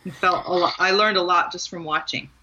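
A woman's voice: fairly low pitch, steady tone, a little fast, sharp tone, muffled recording, a little hiss or hum. An American woman talking casually and reflectively as the guest in an interview about her own experience. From Maryland, US. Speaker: guest author and writing teacher.

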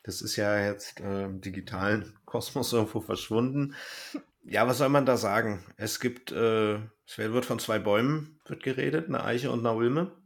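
A man's voice: lowish voice, moderate pace, vocal fry. A man speaking informally as a podcast host in casual review chat, with a dry, joking manner.

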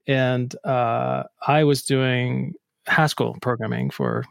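A man from Iceland, a programmer guest, answering interview questions in a relaxed, hesitant way with many pauses. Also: mid-range voice, gravelly, no room sound, studio quality. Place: home near Boston.

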